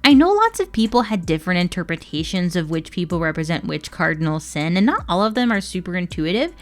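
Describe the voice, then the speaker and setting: A woman's voice: lowish voice, sing-song, fast talker. A woman hosting a scripted video essay, speaking in an emphatic, opinionated and casually sweary way.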